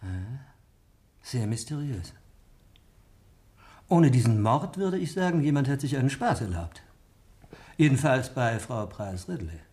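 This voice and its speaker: An actor playing a genial, teasing village doctor in a radio drama, bantering yet measured in his delivery. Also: mid-range voice, expressive, a little mellow, close to an everyday voice. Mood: measured, serious.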